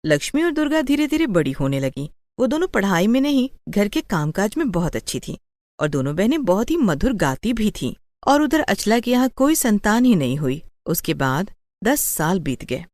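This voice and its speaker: Lively-pitched woman storyteller. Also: normal speed, no echo. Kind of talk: scripted story narration.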